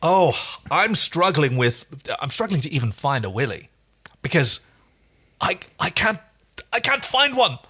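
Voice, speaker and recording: Animated man with lively, swooping pitch. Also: measured pace, slightly rough. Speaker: podcast co-host. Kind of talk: casual episode review chat.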